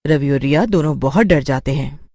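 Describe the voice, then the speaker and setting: A woman's voice: low-pitched, normal speed, warm tone, no echo, clean studio sound. A woman reading scripted narration for a children's cartoon story as its narrator.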